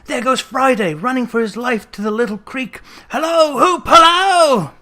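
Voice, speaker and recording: A narrator voicing an old man in a classic storybook, childishly excited and exclaiming between laughter and tears. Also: high voice, normal speed, pitched above the performer's own voice. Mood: excited, calling out.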